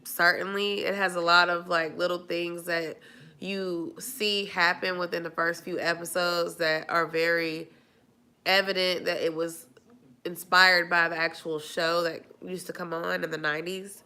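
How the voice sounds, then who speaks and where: A woman's voice: fairly low pitch, little pitch movement, unhurried, sharp tone, smooth. Stuffy-nosed woman chatting casually. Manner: chatty, rambling with many fillers. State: stuffy, nasal from a cold. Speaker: vlogger answering live chat. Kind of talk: casual live-stream chat.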